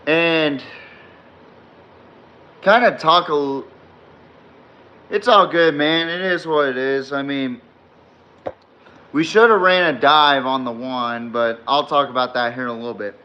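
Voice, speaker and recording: A man hosting a late-night sports podcast with a slightly hoarse voice, rambling with many fillers while reading and answering chat comments. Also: medium pitch, measured pace, pauses often, muffled recording. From Texas fan, not necessarily from Texas. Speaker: podcast host. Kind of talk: live-streamed sports podcast recap.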